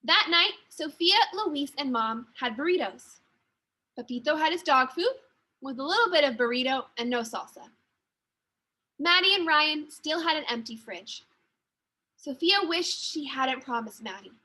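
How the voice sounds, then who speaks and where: High, bright-voiced woman teacher reading aloud. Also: animated, unhurried. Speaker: second-grade teacher. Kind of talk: children's storybook read-aloud.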